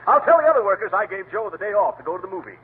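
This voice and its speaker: A man's voice: high voice, sing-song, racing. A man voicing a fussy, pompous honey businessman in an old radio comedy. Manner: pompous with chuckling asides. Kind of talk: 1930s radio comedy acting. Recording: tinny old radio recording with faint hiss.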